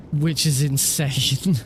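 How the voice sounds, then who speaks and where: A man with a naturally high voice, rambling casually and self-deprecatingly as he hosts a gaming livestream. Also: steady tone, very slow, a little hiss or hum. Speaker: livestreaming speedrunner and host. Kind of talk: casual livestream chat over gameplay.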